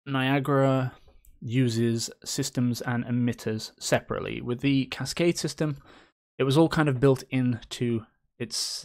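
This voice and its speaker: Upbeat man walking through a software tutorial. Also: mid-range voice. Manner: upbeat, chatty, with playful little cheers. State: slightly hoarse from a coming cold. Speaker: game engine tutorial host. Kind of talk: screen-recorded software tutorial.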